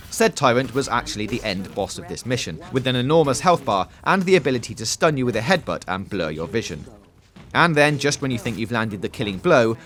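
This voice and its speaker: A man's voice: on the low side, fairly bright tone. A man reading a scripted video game preview voice-over in a polished, emphatic presenter style.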